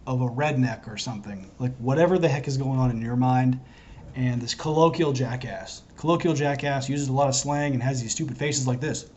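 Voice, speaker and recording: A hoarse man talking to camera in a wandering, self-interrupting, earnest monologue as a self-styled prophet. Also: mid-range voice, a little mellow, a little hiss or hum. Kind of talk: rambling solo monologue to camera.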